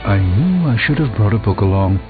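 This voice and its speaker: An actor playing a pompous, learned sorcerer in a video game, speaking in a grandiose, lecturing way. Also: male voice, on the low side, big pitch swings, fairly quick. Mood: idle, dry. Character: pompous, theatrical, learned male sorcerer and mystic. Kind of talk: theatrical video game dialogue. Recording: thin phone-like band, music behind.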